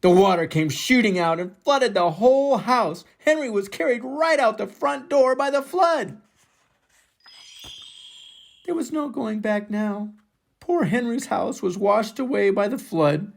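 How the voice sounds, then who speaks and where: An older man reads a children's picture book aloud in an expressive, dramatic way, drawing out words for emphasis. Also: high-pitched, vocal fry. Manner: dramatic, deliberate, drawn-out emphasis. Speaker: storybook reader for children.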